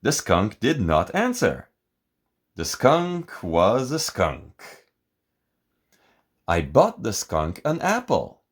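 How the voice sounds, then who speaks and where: Slow, highly animated man reading a storybook. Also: breathy edge. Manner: theatrical, expressive, deliberate. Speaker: picture book reader. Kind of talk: dramatic scripted storybook narration.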